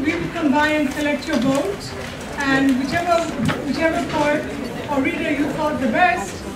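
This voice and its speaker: An older woman organizing a college poetry event, calling up readers and giving instructions casually. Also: sits high. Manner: casual, with fillers. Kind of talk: event announcements. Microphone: podium mic. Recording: echoey room, distant mic, loud noise.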